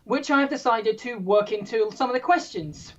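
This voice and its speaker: A woman hosting a trivia quiz, reading questions in a brisk, playful, self-mocking way. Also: a little high, lo-fi sound. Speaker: quiz host.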